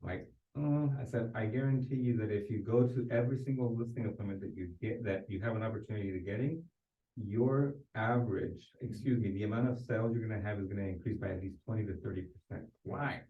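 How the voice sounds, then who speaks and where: A man's voice: fairly low pitch, fast. A man telling anecdotes in a group coaching discussion, conversational, restarting his sentences and often checking for agreement. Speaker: real estate sales coach. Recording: distant, echoey, thin phone-like sound.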